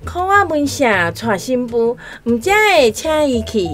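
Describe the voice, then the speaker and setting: Boastful, coaxing rural matchmaker, Taiwanese accent, high and unhurried, radio play with music underneath. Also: female voice, lively intonation. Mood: boastful, insistent. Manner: glib, coaxing. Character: smooth-talking village matchmaker woman, persuasive and boastful. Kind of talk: radio drama acting. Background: instrumental music.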